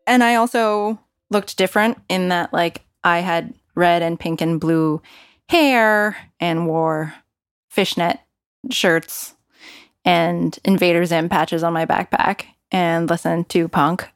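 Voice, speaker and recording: A woman's voice: on the low side, takes it slowly, clean tone, dry recording, studio quality. A woman with an American accent telling personal stories in a conversational, candid and wryly self-deprecating way. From San Francisco Bay Area, California. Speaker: personal storyteller. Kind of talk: conversational personal narrative commentary. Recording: music bed under the voice. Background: music.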